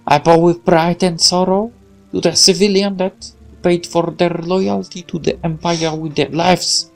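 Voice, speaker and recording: A man voicing a grandiose wartime propaganda minister in a game, declaiming a solemn, loud political speech. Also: high-pitched. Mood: solemn, proud. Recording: music under the voice.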